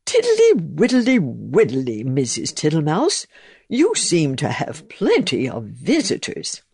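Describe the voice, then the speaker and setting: A woman puts on a greedy, unbothered storybook toad who croons his words in a lazy sing-song. The voice sits low with a gravelly edge and swoops about lazily. It is close and dry, with no room around it. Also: huge pitch swings, slow, darkish tone, no room sound, exaggerated voice. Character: greedy, easygoing male toad with a lilting call.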